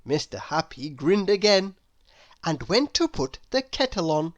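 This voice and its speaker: A woman reading a children's story aloud with deliberate, expressive narration. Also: lowish voice, muffled recording. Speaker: storybook reader.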